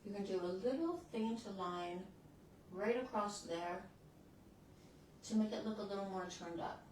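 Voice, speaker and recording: A woman's voice: mid-range voice, unhurried, a little mellow, very echoey, distant mic, slight background noise, low-quality recording. A woman in her sixties who hosts a beauty channel, chatting casually through a makeup tutorial and often restarting her sentences as she works. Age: 60s.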